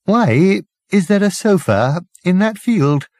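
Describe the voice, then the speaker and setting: A man voicing a bewildered, hesitant, mildly sceptical Englishman in an audiobook, with halting, puzzled delivery. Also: sits high, very animated, a little mellow, no room sound, studio quality, voice pushed up, full character voice. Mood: doubtful.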